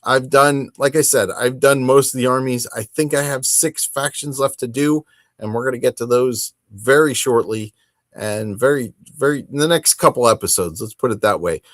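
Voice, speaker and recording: A man hosting a hobby podcast, talking casually on his own in a rambling, halting and self-deprecating way. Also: mid-range voice, no echo. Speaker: host of a tabletop wargaming podcast. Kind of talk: casual solo chat to camera.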